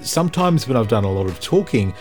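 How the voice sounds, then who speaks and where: A man's voice: on the low side, sing-song, brisk, darkish tone, soft background music. A man co-hosting a podcast, wryly feeding questions and laughing easily. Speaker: podcast co-host. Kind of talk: scripted podcast chat with banter. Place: recording studio.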